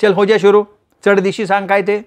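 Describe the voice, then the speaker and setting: A man voicing a chatty, carefree young woman who teases her friend in quick banter in a read-aloud story. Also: high voice, flat delivery, voice pushed up, natural voice. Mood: teasing. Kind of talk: storytelling with voiced characters.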